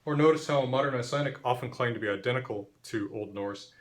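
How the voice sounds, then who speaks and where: A man explaining language history in a measured, clear, professorial lecture. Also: mid-range voice.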